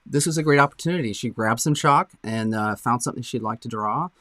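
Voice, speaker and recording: A man's voice: medium pitch, quick pace, pushed and bright, no echo, studio quality. Upbeat man co-hosting a morning show. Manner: upbeat, chatty, frequent fillers. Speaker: co-host of a local morning show. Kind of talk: casual morning show chat.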